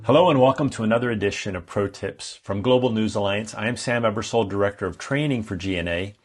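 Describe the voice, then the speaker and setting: A man's voice: fairly low pitch, quick pace, faint music behind. A man hosting an interview series, reading a polished, welcoming introduction and asking questions. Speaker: interview host. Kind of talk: scripted show introduction.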